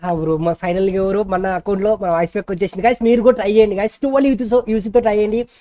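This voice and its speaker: A woman streamer with a Telugu accent talks casually to her viewers. Her speech is quick and her tone is mellow. The sound is dry and close, and she drops informal English slang into her chat. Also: medium pitch, fairly even intonation, dry recording. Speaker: gaming streamer, host.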